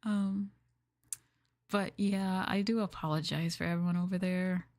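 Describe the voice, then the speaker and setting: Soft-spoken woman, low-pitched ASMR streamer. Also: steady tone, a little slow, no room sound. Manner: gentle, hushed, casually chatty with viewers. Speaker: VTuber livestreamer.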